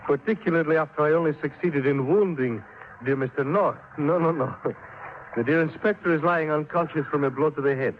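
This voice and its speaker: An actor playing a suave, smug foreign villain in a 1950s radio drama, with silky, florid, mock-affectionate delivery. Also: male voice, fairly high pitch, fairly quick, lightly acted. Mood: smug gloating. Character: suave, gloating male foreign villain, smugly theatrical. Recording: muffled, noisy old radio recording.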